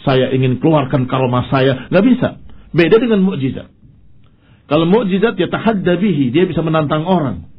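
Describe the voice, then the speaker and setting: Male Islamic preacher, raspy voice, instructive and emphatic lecture delivery, muffled narrow-band sound. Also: fairly high pitch. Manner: didactic, emphatic, with Arabic phrases and rhetorical questions. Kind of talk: religious lecture. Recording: dull, muffled lo-fi lecture recording.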